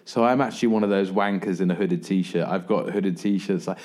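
A man's voice: low voice, fairly even intonation, fairly quick, darkish tone. A man hosting a podcast, chatting casually and joking, with casual swearing. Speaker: podcast host. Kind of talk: casual chat.

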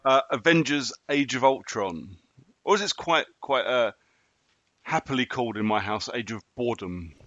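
A man's voice: mid-range voice, expressive, moderate pace, fairly bright tone, no room sound, dull audio. A man co-hosting a film podcast, chatting casually with laid-back, wry humour. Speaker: podcast co-host.

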